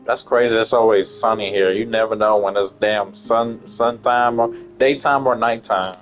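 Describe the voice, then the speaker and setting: Low-voiced man casually commenting on a game. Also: steady tone, moderate pace, soft background music. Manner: offhand, mildly profane. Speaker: gamer commenting on playthrough.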